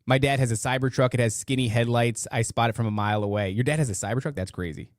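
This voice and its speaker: A man with a rough voice hosting a podcast, chatting casually in rambling, slangy, profane talk to a live audience online. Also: mid-range voice, quick pace, dry recording, clean studio sound. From northeastern United States. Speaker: podcast host. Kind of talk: casual live chat, reading listener comments.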